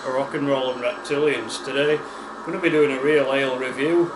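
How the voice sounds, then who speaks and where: A man with a West Yorkshire accent hosting a casual beer-tasting vlog, chatty and unhurried, with plenty of ums and uhs. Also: medium pitch, fairly even intonation. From West Yorkshire, England. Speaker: beer reviewer, channel host. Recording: echoey room with air-conditioning hum.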